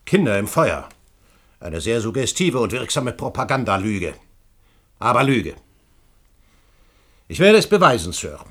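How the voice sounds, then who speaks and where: A man's voice: mid-range voice, very animated, measured pace, pauses often, fairly bright tone. An actor playing an earnest, insistent history professor in a German radio play, formal and emphatic in his pleading. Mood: urgent, pleading.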